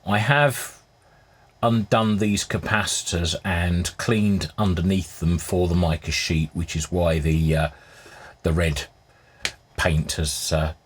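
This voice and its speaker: Low husky man, methodical. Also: takes it slowly. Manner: methodical, matter-of-fact, step-by-step. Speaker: hobbyist restorer presenting. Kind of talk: hands-on repair narration, semi-scripted demonstration.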